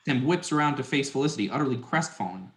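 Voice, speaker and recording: A man's voice: mid-range voice, animated, fast talker. A young adult man reading scripted stage directions as a narrator. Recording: echoey, reverberant room.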